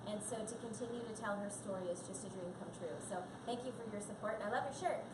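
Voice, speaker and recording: A woman's voice: medium pitch, fast. A woman voice actress talking as a guest on a live panel in a shop, earnest and chatty with many fillers. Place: coffee and comics shop event. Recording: echoey room, distant mic, crowd noise.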